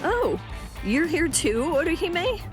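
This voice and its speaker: A woman's voice: very high-pitched, sing-song, slow pace, full character voice. An actress playing a plucky, direct young female warrior in an anime game dub. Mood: plain, earnest. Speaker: video game voice actor. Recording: music behind the voice.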